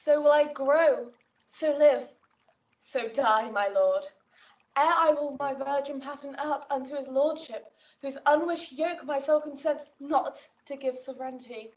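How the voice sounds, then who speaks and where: A young noblewoman in love, earnest and defiant. Her voice is high, with formal, impassioned phrasing in the manner of classical Shakespeare. It sounds distant and slightly muffled, as an audio play recording. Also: measured pace. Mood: resolute defiance. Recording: distant, dull drama recording.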